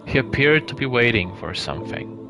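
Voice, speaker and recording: A man reading a story aloud live as narration, in a measured way with some hesitations and stumbles. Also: medium pitch, big pitch swings. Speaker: streamer reading a visual novel aloud. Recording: clear background music behind the voice.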